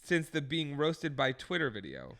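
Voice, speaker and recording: A young man co-hosting a podcast, telling stories in an animated, laughing way. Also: a little high, normal speed. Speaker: podcast co-host. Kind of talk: casual chat.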